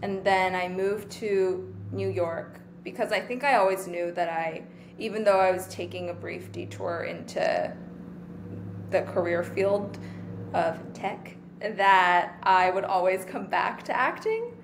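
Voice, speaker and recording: Twenties, from Taiwan, interview guest, easygoing rambling talk, faint background hiss. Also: female voice, medium pitch, measured pace. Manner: relaxed, rambling with many fillers. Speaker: guest actor. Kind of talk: casual interview chat.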